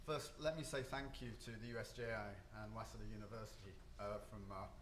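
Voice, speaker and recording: A man's voice: fairly low pitch, fast, a bit bright, echoey room, slight background noise. A man giving a conference panel talk, hesitant, with frequent ums and restarts. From not Japan or the US. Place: hotel conference room.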